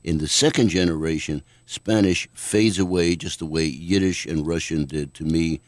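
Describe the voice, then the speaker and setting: An older man, a guest author, answering questions in a measured, thoughtful way on a radio talk show. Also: deep voice, steady tone, no room sound. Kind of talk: radio interview.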